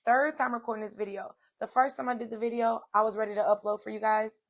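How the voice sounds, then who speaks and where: A woman hosting a solo inspirational talk, her voice slightly hoarse, chatty and direct, addressing viewers as "y'all.". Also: fairly high pitch, fairly even intonation, fast talker. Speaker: podcast host. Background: soft music playing.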